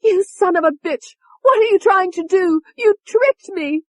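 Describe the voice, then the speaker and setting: A woman voicing Tony, a violent, foul-mouthed young woman with a British accent, shouting in shrill rage. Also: measured pace, no room sound. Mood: screaming rage.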